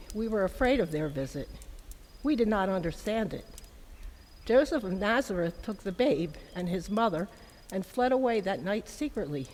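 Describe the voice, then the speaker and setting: A woman's voice: mid-range voice, a little mellow, close to an everyday voice. Woman playing a young village mother, reverberant. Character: gentle, timid young village mother, wary yet hospitable. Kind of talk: amateur dramatic reading of a Christmas story. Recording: long hall reverb, faint background noise.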